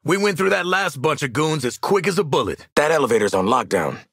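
A man's voice: on the high side, lively intonation, fairly quick, a bit bright, breathy edge, dry recording, clean studio sound, exaggerated voice. A man playing a cocky, wry mercenary marksman in a video game, streetwise and sardonic, barking commands to his squad and swearing freely. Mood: wry, casual.

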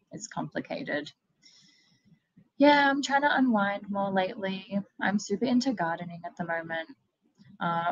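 A woman's voice: lowish voice, vocal fry, no echo, lo-fi sound, full character voice. A woman voicing a chatty, bloodthirsty water-horse monster in a comic reading, breezy and friendly. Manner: breezy and friendly, turns menacing.

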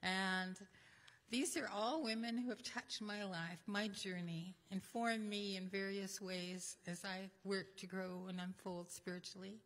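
A woman's voice: medium pitch, fairly even intonation, unhurried, a bit bright. An older woman minister earnestly introducing speakers from a church lectern. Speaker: minister leading the service. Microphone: lectern microphone. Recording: echoey church hall.

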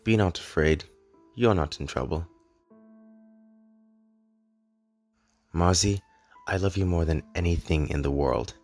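A man playing a grave, lovelorn immortal in an animated drama, speaking earnestly in measured, formal, poetic phrases. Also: sits low. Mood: tender, hesitant. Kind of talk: dramatic animated-film voice acting. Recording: echoey hall reverb, music underneath.

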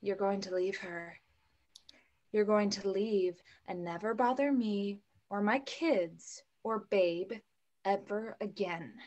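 A woman's voice: medium pitch, slow, darkish tone, lightly acted. A young woman reading the part of a protective, wry widowed mother in a play over a video call. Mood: defiant, threatening. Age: young adult. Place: room at home. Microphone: computer mic on video call.

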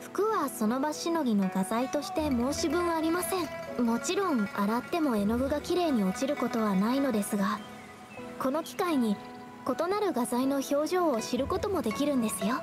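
A high-pitched young woman painter from an anime game, shy and self-doubting. She speaks softly and earnestly in a hesitant, reflective way, with clear background music. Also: animated, normal speed. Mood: earnest explaining.

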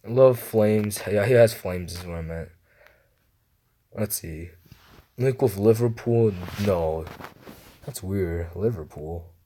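Guy mumbling through a phone quiz game, real dark mellow tone, slow and low. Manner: mumbled, low-key answers, livelier when starting a new round. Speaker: gamer playing a guessing game. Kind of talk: screen-recorded commentary, reading questions aloud. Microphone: phone screen recorder.

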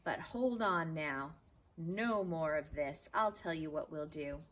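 A woman's voice: deep voice, slow pace. A woman voicing a blustery, proud grown man who owns a toy schooner, in an emphatic, bossy way, in a children's storybook. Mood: indignant. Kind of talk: children's storybook read-aloud. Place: outdoors in a backyard garden. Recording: outdoor ambient noise.

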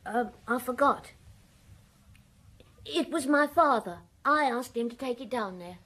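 A woman's voice: a little high, lively intonation, a little slow, a bit bright. A woman playing a frightened, hesitant village schoolboy who stammers as he confesses, in a radio drama. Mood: flustered.

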